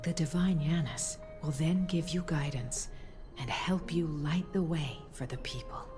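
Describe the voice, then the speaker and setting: A tender mother and temple priestess speaks low and softly with a breathy edge. Her delivery is soothing, measured and formal, as game dialogue over clear music. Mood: reassuring. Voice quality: soft, breathy. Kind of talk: voiced game cutscene dialogue.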